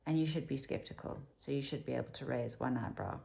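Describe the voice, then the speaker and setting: A South African woman in her fifties talks to camera at home in a low voice with a gravelly fry. She rambles candidly and drops to a whisper for asides. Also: quick pace, mellow, rough recording. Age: 50s. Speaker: vlogger. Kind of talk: casual talk to camera.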